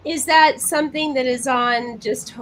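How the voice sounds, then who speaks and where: A woman's voice: sits high, steady tone, measured pace, fairly bright tone. A woman co-hosting a podcast, chatting casually and asking the guest questions. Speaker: podcast co-host. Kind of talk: casual interview chat. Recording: faint background noise.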